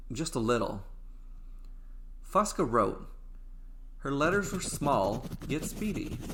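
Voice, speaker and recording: A man narrating a scripted story in a dramatic, deliberate way, with pauses between phrases. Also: medium pitch, a bit bright.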